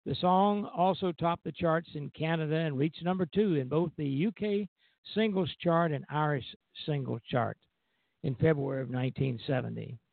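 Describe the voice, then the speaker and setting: Male radio music host, scripted narration, creaky voice, dry close sound, tinny phone-like audio. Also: fairly high pitch, normal speed, no room sound. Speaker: radio show host introducing records.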